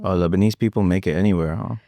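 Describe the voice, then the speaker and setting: A man's voice: low-pitched, racing, creaky, dark tone, no room sound. A man hosting a business talk show, interviewing his guest in a friendly, jokey, upbeat way. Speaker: host. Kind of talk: casual interview.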